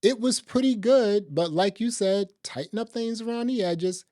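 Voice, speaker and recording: A man's voice: high voice, normal speed, mellow, no echo, clean studio sound. A man co-hosting a podcast, reviewing films in casual, slangy talk and often checking for agreement. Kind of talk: casual film review chat.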